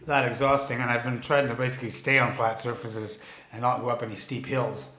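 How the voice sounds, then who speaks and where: A man co-hosting a podcast in casual chat, relaxed and wry, with frequent fillers. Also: mid-range voice, a little fast, roomy sound. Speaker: podcast co-host.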